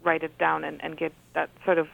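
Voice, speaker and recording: A woman whose first language is Polish, an author reading from her book and talking thoughtfully with many pauses. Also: low-pitched, flat delivery, vocal fry. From Poland. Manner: thoughtful, hesitant with frequent ums. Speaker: author, guest. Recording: phone-like radio audio.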